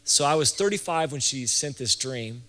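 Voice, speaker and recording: A man of about forty speaks as a ministry leader teaching a group, with the long reverb of a hall around his voice. His pitch sits in an ordinary middle range. He talks informally and haltingly, doubling back and repeating phrases as he goes. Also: lively intonation, fairly bright tone. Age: late 30s to early 40s. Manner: conversational, halting, often restarts and repeats phrases. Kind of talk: informal sermon-style teaching talk to an audience.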